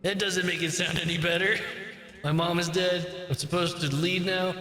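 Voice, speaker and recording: Man playing an angry, high-voiced young familiar. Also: fairly even intonation, normal speed, pushed and bright. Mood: angry, bitter. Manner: accusing, foul-mouthed, emphatic. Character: angry, bitter young male vampire's familiar. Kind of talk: visual novel read-aloud with dramatic voice acting. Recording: echoing reverb, music behind.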